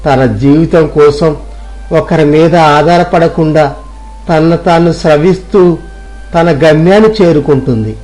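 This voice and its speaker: A man's voice: a little high, a little slow, darkish tone, soft background music, close to an everyday voice. A man playing a poor, self-doubting villager who thinks aloud earnestly in a moral story. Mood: awed. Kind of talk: Telugu moral story audio drama.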